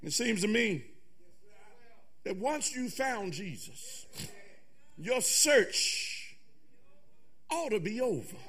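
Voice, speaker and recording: A man preaching a fervent, musical sermon in a raspy, gravelly voice, in short, emphatic, repeated phrases that slide toward singing and shouting. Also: high-pitched, sing-song. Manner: emphatic repetition in short, drawn-out phrases with calls to the congregation.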